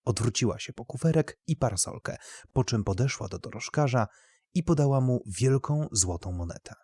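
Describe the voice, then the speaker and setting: A man's voice: medium pitch, animated, normal speed, warm tone, breathy edge, dry recording, studio quality. A man narrating literary fiction as a scripted audio story.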